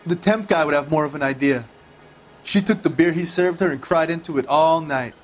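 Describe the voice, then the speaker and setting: A man's voice: on the high side, a little fast, close to an everyday voice. An actor playing a world-weary male bartender in a 1940s detective video game, talking in a casual, slangy way. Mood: casual, dismissive. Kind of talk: scripted dramatic game acting.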